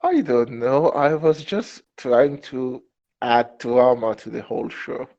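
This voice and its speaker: A man's voice: mid-range voice, expressive, takes it slowly, a little mellow. A man hosting a casual podcast in a wry, teasing way. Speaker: podcast host. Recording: slightly dull, lo-fi audio.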